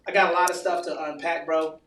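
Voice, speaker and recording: A man's voice: on the high side, flat delivery, quick pace, fairly bright tone, slight background noise. A man hosting a podcast, interviewing in an informal, jovial, bantering way. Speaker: podcast host.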